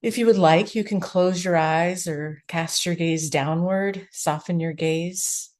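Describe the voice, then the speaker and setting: A woman with a low voice leads a guided meditation as its teacher. She speaks very slowly, offering gentle instructions in short phrases. Long, unhurried pauses separate one phrase from the next. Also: fairly even intonation.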